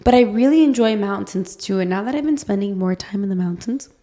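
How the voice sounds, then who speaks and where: A woman's voice: medium pitch, expressive, quick pace, warm tone. A young woman whose first language is Portuguese, co-hosting a podcast in casual, playful, informal chat. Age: young adult. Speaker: podcast co-host.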